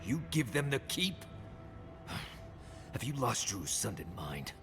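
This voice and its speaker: An actor playing a skeptical adventuring companion in a fantasy game, with a wry, casual, grumbling manner. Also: male voice, fairly low pitch, sing-song, slow pace, music in the background, heavily acted. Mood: incredulous. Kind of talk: fantasy video game dialogue.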